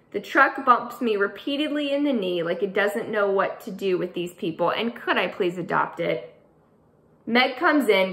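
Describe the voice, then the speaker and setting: A woman author reading her middle grade novel aloud, with an expressive storytelling delivery. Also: mid-range voice. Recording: echoey room.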